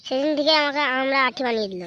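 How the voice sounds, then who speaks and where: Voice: sits high, racing, pushed and bright, dull audio, a little hiss or hum. A performer voicing a bullying, foul-mouthed husband in a comic cartoon, ranting threats. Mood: angry. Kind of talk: amateur comic cartoon dubbing.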